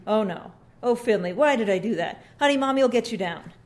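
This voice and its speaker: A woman's voice: fairly high pitch, lively intonation, a little fast, darkish tone, natural voice. A woman reading the lines of a frazzled, stressed young mother.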